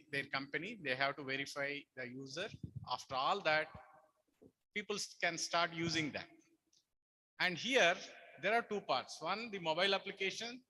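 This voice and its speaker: An Indian-accented man presents and explains a product to an audience. His voice sits fairly high and sounds bright and forceful, as he lays things out as a presenter taking questions. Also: rough recording.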